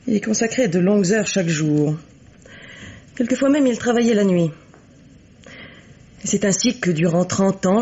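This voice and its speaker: Husky, composed middle-aged widow. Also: female voice, on the low side, warm tone. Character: composed, coolly bitter middle-aged widow of a wealthy financier. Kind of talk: French radio mystery play acting. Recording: dull, lo-fi vintage radio recording.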